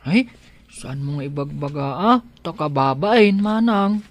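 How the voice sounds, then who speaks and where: Shy, devoted gardener man acting, unhurried expressive speech, Ilocano accent, hesitant, village radio drama. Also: on the high side. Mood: bashful. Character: shy, devoted, humble young male gardener.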